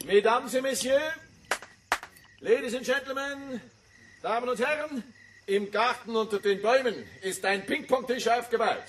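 An eager hotel host in a radio play. He announces ceremoniously in a high voice, from a distance with faint ambient noise. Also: normal speed, long pauses. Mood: festive, formal. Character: formal, eager hotel host addressing guests. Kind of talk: public announcement in radio drama.

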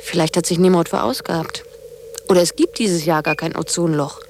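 A woman's voice: fairly low pitch, moderate pace, background noise, natural voice. An actress playing a cool, self-reliant younger woman in a German radio drama, dry, blunt and dismissive. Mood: sardonic.

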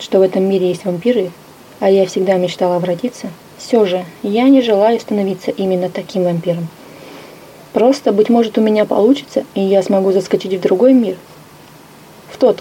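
A woman narrating a first-person story with a lively, expressive reading. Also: mid-range voice, a little hiss or hum, rough recording. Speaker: audiobook narrator.

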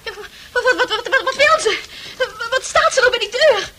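Nosy, eager chatterbox in a radio play: high female voice, animated, coquettish, prying. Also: a little fast. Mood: agitated. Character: chatty, nosy, eager, girlish woman newcomer. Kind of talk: Dutch radio play acting. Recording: noisy old radio drama recording.

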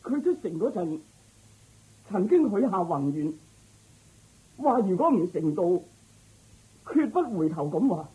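Woman doing a humble servant guy for radio drama, pleading, husky, voice jumps around. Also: mid-range voice, sing-song, a little slow, long pauses, narrow, tinny audio, exaggerated voice. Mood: respectful, reporting. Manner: deferential, pleading. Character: loyal, humble male royal groom, fearful of blame.

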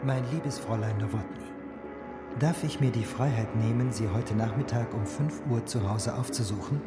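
A man plays a courteous gentleman suitor reciting a letter in a radio drama. His formal, mid-pitched voice echoes noticeably, with loud music around it. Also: moderate pace, close to an everyday voice. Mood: courteous, hopeful. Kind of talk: letter read aloud in radio play.

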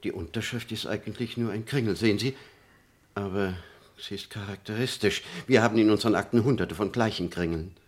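Sober middle-aged attorney for radio drama played by a man: fairly deep voice, dry, exact, matter-of-fact. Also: lightly acted. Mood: sober, concerned. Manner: measured, dry, explanatory. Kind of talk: German radio play acting.

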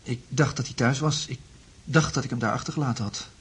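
A man's voice: mid-range voice, a little fast, fairly bright tone, breathy edge. An actor in a radio play playing a confused, distressed man in love, speaking hesitantly. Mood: confused, anxious. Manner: hesitant, faltering.

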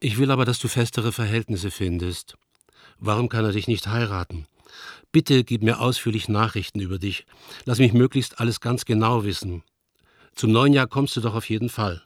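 An actor playing a worried, affectionate older father figure who reads his letter as a tender, measured, melancholy radio-play monologue. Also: lowish voice, no echo, studio quality, close to an everyday voice. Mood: tender, concerned.

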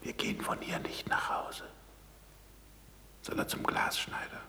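An actor playing a weary, stubborn ageing scholar in a radio drama, with a deep gravelly voice, wary of authority. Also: male voice, measured pace, pushed and bright, whispered. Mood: hushed, secretive. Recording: reverberant hall.

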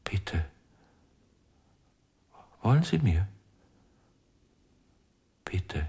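An actor playing an anguished, broken ventriloquist in a radio crime drama, speaking haltingly in a breathy voice and repeating himself in desperation. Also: male voice, deep voice, very animated, mellow, heavily acted. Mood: broken, lost. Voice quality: husky, breathy. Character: anguished, broken male ventriloquist pleading with his puppet.

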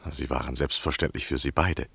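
A man's voice: a bass voice, fairly even intonation, a little fast, gravelly, fairly bright tone, breathy edge, no room sound, exaggerated voice. An actor playing a polite, persuasive and probing male journalist in a formal, gallant manner, in the style of a 1960 German radio play. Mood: gallant, conversational.

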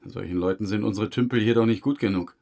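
A stubborn suspect under questioning played by a male actor in a crime drama. His voice sits fairly low, and his answers are curt, defensive and evasive. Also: fast, gravelly, a little mellow. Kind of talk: German crime radio drama acting.

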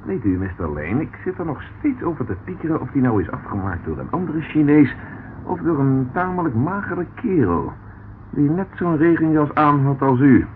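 Sardonic police inspector, tinny old radio. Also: male voice, on the high side, very animated, moderate pace. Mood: sardonic, probing. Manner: mocking, needling, mock-polite. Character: sardonic, dogged male London police inspector. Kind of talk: radio drama acting. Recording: tinny, noisy old radio play recording.

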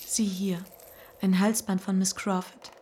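An actress playing a shy, devoted young woman in a radio play, emotional and often hushed. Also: mid-range voice, flat delivery, unhurried, a little hiss or hum, natural voice. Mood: anxious, hesitant. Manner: emotional, breaking into hushed whispers. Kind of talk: German radio play acting. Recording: studio radio play with music underneath.

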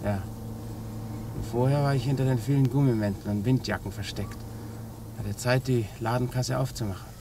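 A man's voice: medium pitch, fairly even intonation, normal speed, lightly acted. An actor playing a cornered male criminal confessing to an inspector in a 1950s radio crime drama. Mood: matter-of-fact confessing. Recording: noisy old 1950s radio recording.

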